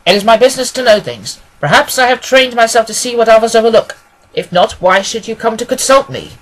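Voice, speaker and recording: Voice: mid-range voice, lively intonation, fairly quick, fairly bright tone, pitched above the performer's own voice, natural voice, poor audio. A reader voicing a confident, analytical male detective in an audiobook, speaking with assured precision. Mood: brisk, probing. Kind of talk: acted audiobook dialogue.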